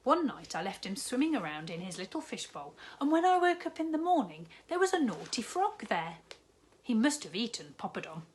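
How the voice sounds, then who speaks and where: A woman voicing a big, jolly, kindly male shopkeeper in a storybook reading, warm and fatherly. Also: a little high, big pitch swings, normal speed, slight background noise, pitched above the performer's own voice.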